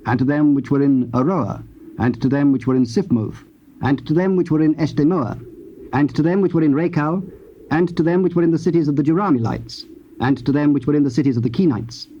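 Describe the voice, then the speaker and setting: A man narrating scripture in a solemn, measured and formal manner. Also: a little high, dark tone. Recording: dull, hissy old cassette tape.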